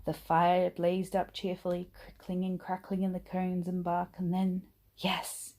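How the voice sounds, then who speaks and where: A woman reading a children's bedtime story aloud in an expressive, acted-out manner. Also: lowish voice, a little slow. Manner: animated, acts out dialogue and sound words. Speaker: storybook reader.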